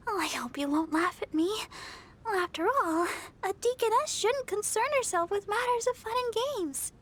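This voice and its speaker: A woman's voice: very high-pitched, slight background noise, exaggerated voice. A woman voicing a sweet, bashful young deaconess in a video game, caring and earnest. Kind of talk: scripted video game character dialogue. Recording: music behind the voice.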